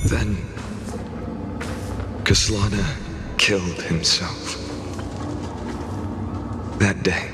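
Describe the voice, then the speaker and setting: Slow, low-voiced brooding warrior. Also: male voice, big-room sound. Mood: weary, grave. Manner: solemn, measured, weighty delivery. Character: brooding, burdened male warrior of endless cycles, sorrowful, quietly wrathful. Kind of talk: dramatic game voice acting, narration.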